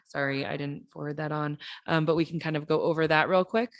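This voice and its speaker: A woman with a slightly low voice talks about plans at a council study session. She is quick and chatty and laughs easily. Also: fairly even intonation. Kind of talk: casual meeting talk. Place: council chamber.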